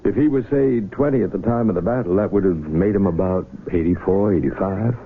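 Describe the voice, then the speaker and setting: Measured, deep-voiced doctor. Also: male voice. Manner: measured, explanatory. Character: calm, matter-of-fact hospital doctor. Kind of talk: 1970s radio drama acting. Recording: tinny old radio broadcast with faint noise.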